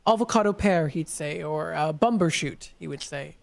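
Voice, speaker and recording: A man's voice: a little high, gravelly. Hesitant friendly radio co-host man. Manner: friendly, hesitant with many fillers, reads numbers slowly. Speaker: radio show co-host and vendor. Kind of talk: live radio call-in chat.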